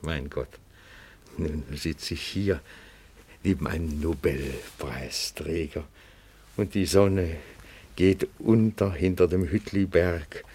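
Elderly amateur sleuth, very low gravelly voice, obsequious, pedantic radio drama delivery. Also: male voice, slow pace, dark tone, full character voice. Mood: reverent, wistful. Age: older. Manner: deferential, fussy, long-winded, formal. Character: meek, pedantic old bookkeeper turned private detective, reverent admirer. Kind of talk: radio play acting.

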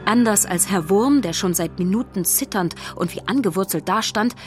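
A woman's voice: medium pitch, moderate pace, soft background music, natural voice. A woman narrating a children's audio drama as a scripted storyteller.

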